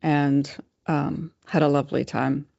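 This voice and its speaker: A woman's voice: low-pitched, little pitch movement, measured pace, vocal fry, dark tone, no room sound, muffled recording. A woman from the United States, a podcast guest, speaking thoughtfully and restarting her sentences now and then. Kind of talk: podcast interview.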